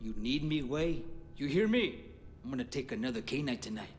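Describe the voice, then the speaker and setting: A man's voice: mid-range voice, expressive, normal speed, a little hiss or hum, natural voice. An actor playing a hungry, boastful male vampire in a game, talking in a taunting, menacing way. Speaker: game character.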